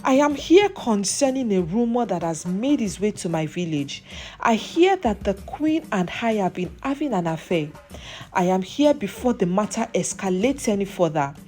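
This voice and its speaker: A stern, irritated chief from a folktale, played by a woman storyteller. He states his case formally and firmly, with animated intonation. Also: mid-range voice, moderate pace, natural voice. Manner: formal, firm. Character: irritated, formal visiting male village chief. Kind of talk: folktale character voice.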